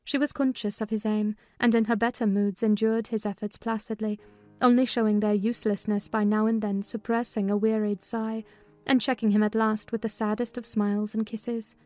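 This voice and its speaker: Woman playing a composed housekeeper telling her tale, higher voice. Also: darkish tone, natural voice. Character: female housekeeper recounting events, composed and observant servant. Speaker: narrator in a dramatized novel reading. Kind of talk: scripted literary narration.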